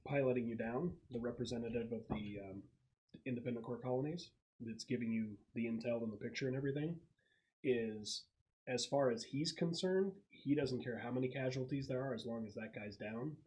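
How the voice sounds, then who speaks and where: A man's voice: medium pitch, a little fast, a little mellow, low-quality recording. A man explaining and narrating casually as game master of a tabletop roleplaying session. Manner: explanatory, conversational.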